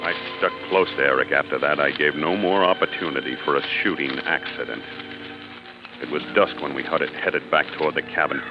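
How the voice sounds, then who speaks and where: Frightened suspicious hunter-narrator, deep voice, 1940s radio drama, brooding intensity, dull old recording. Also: male voice, a little fast, music in the background. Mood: brooding dread. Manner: tense, brooding, dramatic delivery. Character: frightened, suspicious man narrating a deadly hunting trip. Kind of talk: 1940s radio suspense acting, first-person narration.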